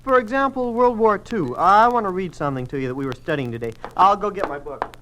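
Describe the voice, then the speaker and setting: A man's voice: high-pitched, expressive, fast, sharp tone. A young male actor playing an earnest, puzzled student son in an old-time radio drama. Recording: noisy old radio transcription.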